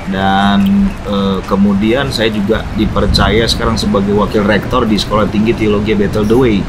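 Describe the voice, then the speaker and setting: A middle-aged man from North Sumatra, a pastor chatting as a guest, joking and laughing easily, then slowing to explain his views thoughtfully. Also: fairly low pitch, little pitch movement. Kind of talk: casual chat. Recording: noisy background with passing vehicles.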